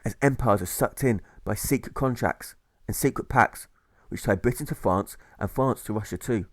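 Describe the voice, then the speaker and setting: A man's voice: on the low side, no echo. Man narrating rhyming story, very dry sound. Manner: rhythmic, measured phrasing with stressed rhymes. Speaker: author reading own audiobook.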